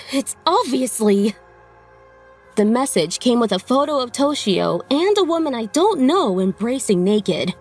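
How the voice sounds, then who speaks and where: Woman acting firm, high-voiced young wife and mother. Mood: puzzled, shocked. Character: wronged, resolute young wife and mother, narrating her story. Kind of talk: dramatized manga dub with narration.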